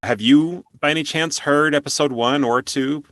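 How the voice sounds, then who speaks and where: Male interview host, bright and forceful tone, chatty, dry close sound. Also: fairly high pitch, normal speed, no room sound. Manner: chatty, laughs often. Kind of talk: conversational interview.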